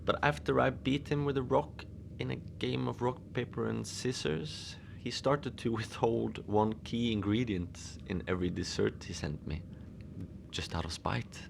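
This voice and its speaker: A man playing an easygoing, whimsical lone Antarctic station manager, rambling in a conversational, deadpan audio-fiction monologue. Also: mid-range voice, unhurried, creaky, noisy background. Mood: deadpan, confiding. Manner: musing, conversational, deadpan about absurd things. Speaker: fiction podcast narrator, lone facility manager at a polar station. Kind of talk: first-person audio fiction monologue, rambling radio log.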